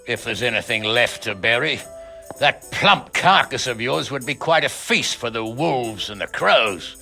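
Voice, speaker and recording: A man playing a gruff, sardonic medieval nobleman who talks in a blunt, mocking way in a video game. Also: mid-range voice, animated, a little slow, sharp tone, soft background music, exaggerated voice. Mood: scornful, mocking. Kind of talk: dramatic video game acting.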